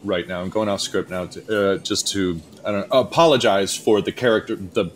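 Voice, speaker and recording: A man's voice: fairly low pitch, normal speed, slight background noise, natural voice. A man playing a flustered, fussily polite waiter and self-conscious narrator in a comic radio play, hesitant and apologetic. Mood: sheepish, apologetic. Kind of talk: comic audio drama acting.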